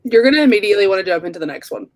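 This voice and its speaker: A woman with a middle-pitched voice takes part in a group book chat as a guest. She speaks casually, in short conversational remarks. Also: lively intonation, very fast, darkish tone. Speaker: guest on a book readalong livestream.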